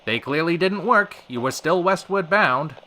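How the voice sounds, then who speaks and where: A man playing a short-tempered, wisecracking talk show host in an old-time radio drama, fast-talking in theatrical period slang. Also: fairly high pitch, animated, pushed and bright, slight background noise. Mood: probing, wary.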